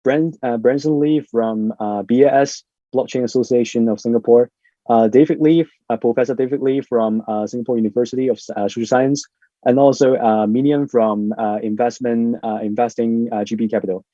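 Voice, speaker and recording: A man's voice: medium pitch, steady tone, brisk, dark tone, no room sound. A man hosting an online panel, introducing guests in a casual way with many fillers. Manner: casual, filler-heavy, upbeat. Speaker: host. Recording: dull lo-fi sound.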